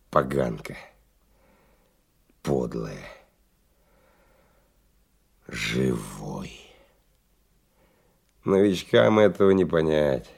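An actor in a radio play voicing a hard-drinking, world-weary male stalker, brooding and coarse in his colloquial speech, with a husky low voice. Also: a little mellow, full character voice. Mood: weary, relieved. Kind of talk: radio play monologue and dialogue.

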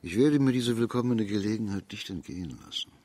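An actor playing a shrewd, authoritative imperial judge in a radio drama, speaking in a measured, formal, deliberate manner. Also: male voice, fairly low pitch, expressive, heavy background noise, close to an everyday voice. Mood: firm, determined.

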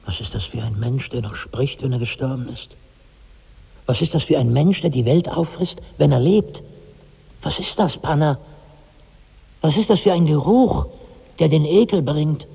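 An actor playing an anxious, doubting companion in a 1960s radio play, with a gravelly, raspy, questioning voice. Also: male voice, a little high, expressive, normal speed, long pauses, heavily acted. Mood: anguished questioning. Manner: uneasy, questioning, searching. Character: Amram, anxious, doubting male companion of a glutton. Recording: dull vintage radio recording, long reverb.

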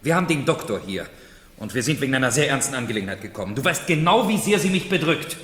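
A man's voice: mid-range voice, big pitch swings, quick pace, a bit bright. An actor playing a dutiful, serious young nobleman in a German radio play, courteous and used to giving orders. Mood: urgent. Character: earnest, commanding young marchese, anxious and dutiful. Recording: echoey hall with long reverb.

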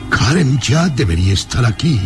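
An actor playing a gaunt, imperious old baron in a radio drama, speaking gravely and theatrically. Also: male voice, mid-range voice, sing-song, lightly acted. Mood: brooding, worried. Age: older. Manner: slow, grave, theatrical, commanding. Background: dramatic music.

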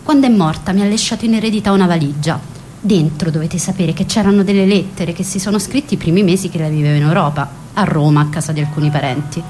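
A woman's voice: lowish voice, fast, darkish tone, slight background noise, natural voice. A woman playing a wistful, passionate young woman in a scripted radio drama, speaking intimately and reflectively. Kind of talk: scripted radio drama monologue and letter reading.

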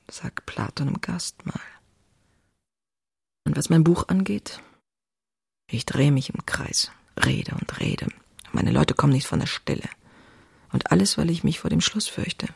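An actress playing a passionate, anxious woman writer in her thirties, reading her diary in an intimate, confessional way with a husky, breathy voice. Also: deep voice, animated, unhurried, a little mellow, no room sound, whispered, clean studio sound, full character voice. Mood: brooding, anxious. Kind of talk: dramatic literary diary reading.

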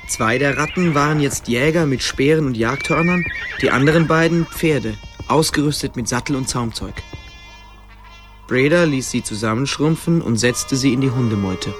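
A man's voice: medium pitch, moderate pace, over music, natural voice. A man narrating a scripted fantasy radio play in German as its storyteller. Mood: composed, storytelling.

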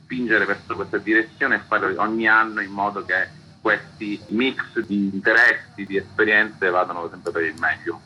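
A man's voice: fairly low pitch, steady tone, moderate pace, bright, forceful tone, slight background noise. A man, a festival curator explaining things in an interview, on a dull lo-fi recording.

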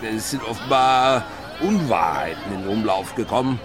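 A man's voice: fairly low pitch, expressive, moderate pace, a crowd in the background, close to an everyday voice. A male actor playing a fat, stubborn ship's captain with a formal, insistent manner in a radio drama. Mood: stiff, hesitant. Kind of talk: German radio drama acting.

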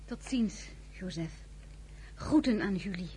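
An actress in a radio play as a gentle, quietly anxious young French wife who narrates, her voice soft and breathy. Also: medium pitch, lively intonation, takes it slowly, slight background noise. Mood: quiet, worried.

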